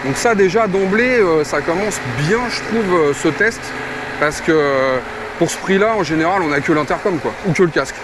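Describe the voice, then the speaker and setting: A man's voice: sits high, moderate pace, noisy background. A man giving a casual product review in a colloquial, hesitant way, as if thinking aloud. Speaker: motorcycle gear reviewer.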